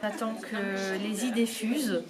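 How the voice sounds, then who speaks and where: A man's voice: high-pitched, steady tone, unhurried, a loud crowd. Youth advisor man, listing ideas. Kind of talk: interview.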